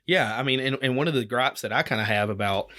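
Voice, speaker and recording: A man's voice: medium pitch, quick pace, bright, forceful tone. A man from Alabama, co-hosting a casual retro game podcast, rambling and restarting his sentences. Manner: rambling, restarts often, chuckles. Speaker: podcast co-host.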